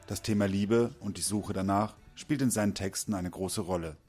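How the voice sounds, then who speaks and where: A man reading a scripted narration for a culture report in a measured, even way. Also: sits low, slightly rough, soft background music.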